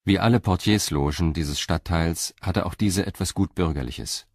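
A man narrating a scripted crime story as a radio drama narrator, in a sober, measured manner. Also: low-pitched, no room sound, studio quality.

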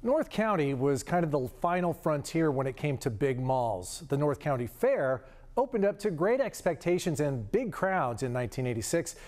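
Middle-aged man, studio news presenter, highish pitch, animated intonation, scripted. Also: normal speed.

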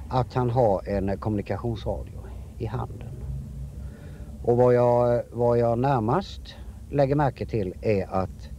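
A man interviewed as an eyewitness, recounting from memory haltingly and repeating words for emphasis. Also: on the low side, mellow, lo-fi sound, a little hiss or hum.

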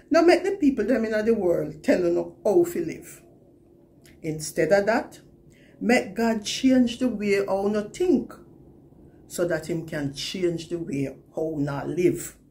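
An older woman with a Jamaican accent reads scripture to camera in a measured, deliberate way. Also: lowish voice, darkish tone. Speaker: scripture reader. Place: plain indoor room, seated.

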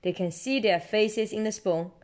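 A woman reading a children's storybook aloud in an expressive, encouraging way, as a parent does to a child. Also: medium pitch, moderate pace. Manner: expressive, encouraging, simple child-directed speech. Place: indoors at home, seated with book.

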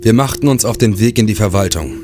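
A man's voice: fairly low pitch, quick pace, music in the background, close to an everyday voice. An actor playing a sober police detective who narrates his case in a clipped, matter-of-fact way for a German crime radio play. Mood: matter-of-fact, suspenseful.

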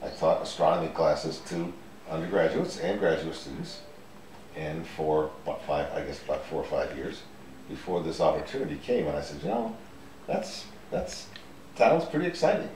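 An older man and former astronaut, telling his story in an easygoing, unhurried way in a living room interview. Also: a bass voice, expressive, a little mellow, slight background noise. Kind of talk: relaxed conversational interview. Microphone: far camera. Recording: roomy sound from a distant camera.

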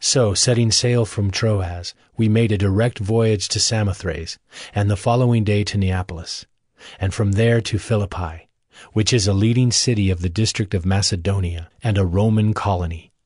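A man narrating scripture as a scripted audio drama, in a measured, formal manner. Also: fairly low pitch, a little mellow, no room sound, clean studio sound. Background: music.

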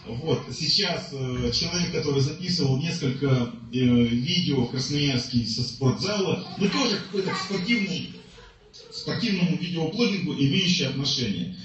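Male stream host, halting delivery full of fillers, cavernous echo. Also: a little high, animated, fairly bright tone, a little hiss or hum. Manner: hesitant, many "э" fillers, slow introductions. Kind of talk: casual livestream hosting. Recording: very echoey room, far from mic, dull.

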